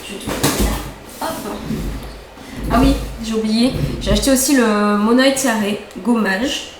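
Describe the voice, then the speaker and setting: A young woman with a fairly high voice talks through her purchases in a chatty, excitable way, doubling up words when she loves something. The room rings with echo. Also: unhurried, background noise. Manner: chatty, enthusiastic, repeats words for emphasis. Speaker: beauty vlogger. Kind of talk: casual haul chat. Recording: echoey room, faint ambience.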